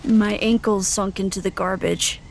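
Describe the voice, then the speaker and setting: A woman playing an uneasy young wanderer, narrating in the first person for an audio drama, plain and halting. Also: mid-range voice, fairly even intonation, gravelly, noisy background, close to an everyday voice. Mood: wary, recounting.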